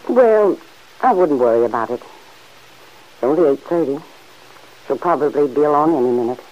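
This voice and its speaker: A woman playing a kindly middle-aged farm aunt who gently chides the man in her home, in 1940s radio acting. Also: low voice, lively intonation. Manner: gently chiding, motherly, quick to laugh. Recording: dull old radio recording.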